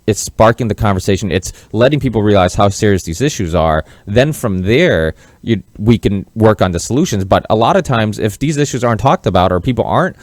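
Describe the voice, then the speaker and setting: A man with a fairly deep voice hosts a conversational interview podcast. He talks earnestly and tends to ramble, and the sound is dry, free of room reflections. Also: expressive, fairly quick, few pauses, no echo. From Massachusetts, born in Portugal. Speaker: podcast host.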